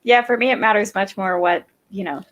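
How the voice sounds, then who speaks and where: A woman's voice: mid-range voice, brisk, fairly bright tone, lo-fi sound. A woman guesting on a whiskey livestream, chatting casually in a giggly, playful way. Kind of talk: casual chat.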